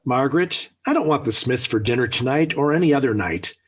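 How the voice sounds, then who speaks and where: A man's voice: medium pitch, lively intonation, fairly quick, a bit bright, husky. An actor playing an exasperated, blustering middle-aged father in an emphatic, flustered 1940s radio sitcom style. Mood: grumbling, stubborn. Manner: emphatic, flustered, sputtering indignation. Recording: old 1940s radio recording.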